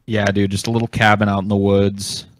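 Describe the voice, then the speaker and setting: A man's voice: on the low side, level tone, fairly quick, dry recording. A man co-hosting a podcast in casual, joking chat. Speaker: podcast co-host.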